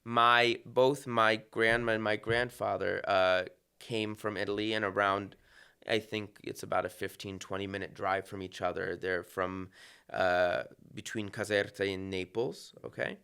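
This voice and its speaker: A man with a low, smooth, resonant voice, interviewed as a conductor on a podcast. He speaks reflectively, breaking off and restarting his thoughts with fillers as he goes. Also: steady tone, a little slow, sharp tone.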